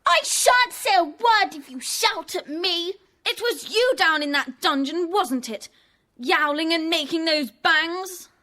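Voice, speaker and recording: A woman playing an excitable, outspoken young schoolgirl who alarms easily, in a children's audio drama. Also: very high-pitched, slow pace, heavily acted. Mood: defiant.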